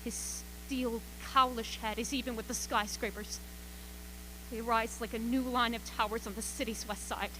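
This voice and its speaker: A woman playing a frightened radio reporter describing an alien invasion in a radio play, halting and repeating words. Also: a little high, steady tone, background noise, lightly acted. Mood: grim dread. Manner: breathless, halting, repeats words under rising panic. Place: school auxiliary gym stage.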